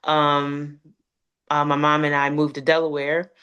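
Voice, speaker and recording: A woman's voice: deep voice, completely flat delivery, a little slow, fairly bright tone, clean tone. A woman in her thirties from Connecticut with an American accent, chatting casually and informally as an interview guest. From Connecticut, United States. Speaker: guest, gospel recording artist. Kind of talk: casual chat.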